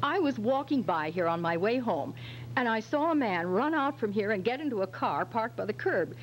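Woman acting a flustered young woman, high, animated. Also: close to an everyday voice. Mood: pleading, defensive. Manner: halting, protesting innocence. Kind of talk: 1940s radio drama acting. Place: hotel function room stage, indoors. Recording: slightly noisy stage recording on videotape.

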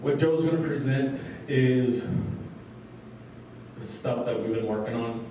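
A man's voice: medium pitch, measured pace. A man who is a police chief speaks to a community meeting in a large school room, talking in a casual, plainspoken way. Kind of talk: public meeting talk, telling an anecdote. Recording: echoey, distant, dull, with background noise.

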